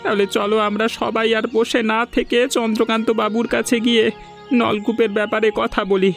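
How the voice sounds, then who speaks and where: A man's voice: high voice, little pitch movement, normal speed, vocal fry, a bit bright, soft background music. A man voicing an earnest, aggrieved villager in a fairy-tale cartoon. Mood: indignant.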